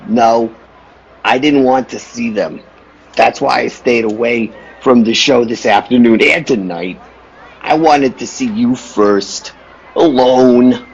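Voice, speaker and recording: An actor playing a troubled, secretive circus clown in an old-time radio play, speaking deliberately and ominously. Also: male voice, mid-range voice, slight background noise. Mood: secretive, tense. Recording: dull, lo-fi call audio.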